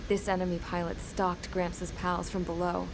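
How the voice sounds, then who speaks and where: A woman's voice: on the low side, flat delivery, normal speed, lightly acted. An actress playing a plain-spoken young woman who retells war stories colloquially in a video game cutscene. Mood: reflective. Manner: colloquial storytelling. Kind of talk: scripted game cutscene narration. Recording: clear background vehicle noise.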